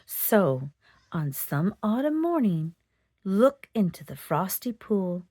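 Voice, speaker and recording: Casting: female children's storyteller, very lively intonation, slightly slow delivery, dry close sound. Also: medium pitch, no room sound. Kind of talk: expressive children's storybook read-aloud.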